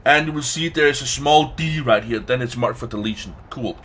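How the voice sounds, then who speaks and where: A man who is not a native English speaker gives casual gameplay commentary, haltingly searching for words and swearing now and then. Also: mid-range voice, fairly bright tone, slight background noise. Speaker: let's play host.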